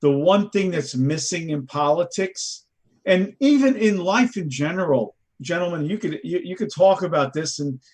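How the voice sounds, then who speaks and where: A man's voice: on the high side, lively intonation, moderate pace, a little mellow, husky. A middle-aged man moderating a panel, talking conversationally and telling stories. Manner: conversational, storytelling with fillers. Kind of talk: panel discussion.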